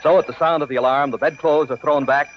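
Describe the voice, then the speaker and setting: A man's voice: on the high side, steady tone, fast. A man announcing and narrating a vintage radio comedy in a scripted style. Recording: muffled old radio recording, faint noise.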